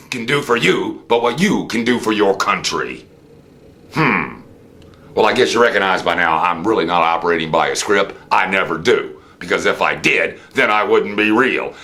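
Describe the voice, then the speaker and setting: American guy ranting politics off the cuff, really deep creaky voice, theatrical delivery. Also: sharp tone. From United States. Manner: theatrical, rhetorical questions with drawn-out emphasis. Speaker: host of a political commentary show. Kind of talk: unscripted political monologue and storytelling.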